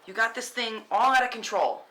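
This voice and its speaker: Woman acting tough, fed-up woman, sharp and lively. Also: fairly high pitch, brisk, rough recording. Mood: angry, firm. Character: Ryan, tough guilt-ridden woman done with crime. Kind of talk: script table read, naturalistic drama.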